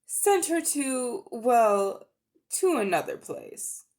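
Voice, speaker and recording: A woman's voice: a little high, big pitch swings, takes it slowly, darkish tone, pitched above the performer's own voice, heavily acted. Woman playing pompous, sly older uncle, swooping delivery. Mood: smug, excited. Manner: grandiose, condescending, chuckling.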